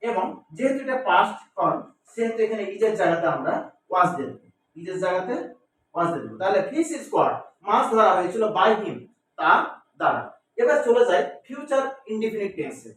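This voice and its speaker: A man's voice: high voice, big pitch swings, normal speed, a bit bright. A man with a Bengali accent teaching grammar in a didactic, classroom style, repeating phrases, spelling words out and answering his own questions. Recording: echoey room, distant mic, faint noise.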